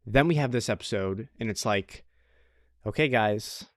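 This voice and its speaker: A man's voice: lowish voice, moderate pace, no echo. Man co-hosting casually, dry close sound. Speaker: podcast co-host. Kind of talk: casual anime review chat.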